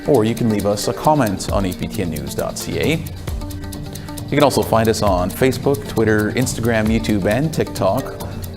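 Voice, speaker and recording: A man reading scripted news as an anchor in a measured broadcast style. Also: lowish voice.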